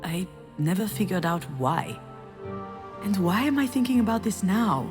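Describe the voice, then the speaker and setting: A woman's voice: medium pitch, animated, moderate pace, natural voice. An actress playing a troubled, self-doubting woman, confiding her thoughts in introspective video game narration. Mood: reflective. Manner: confiding, reflective. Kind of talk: scripted video game voice acting, introspective first-person narration. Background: game music.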